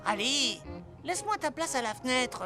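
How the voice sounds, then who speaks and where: A curious little schoolboy in a cartoon dub. The voice is very high, whiny and excitable, always eager, with faint music behind. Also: female voice, moderate pace. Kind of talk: animated children's cartoon dub.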